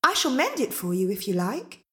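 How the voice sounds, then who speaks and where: A woman voicing a sweet, polite and kind young girl in a storybook, with a gentle, admiring manner. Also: a little high, big pitch swings, normal speed. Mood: admiring, helpful.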